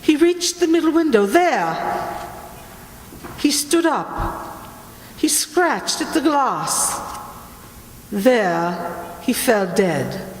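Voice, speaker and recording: A woman's voice: on the high side, very animated, drawn-out words, long pauses, full character voice. A woman playing an imperious, obsessive Italian noblewoman in a radio play, theatrical and grandly condescending as she tells ghostly legends. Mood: rapt, impassioned. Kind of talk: dramatic radio play acting. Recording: roomy, echoing hall, faint noise.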